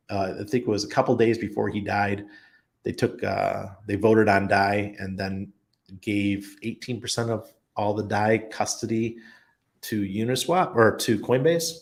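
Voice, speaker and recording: Gravelly low-voiced man hosting a chat stream. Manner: rambling, thinks aloud with many ums and restarts. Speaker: livestream host answering chat. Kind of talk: casual chat. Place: expat living abroad.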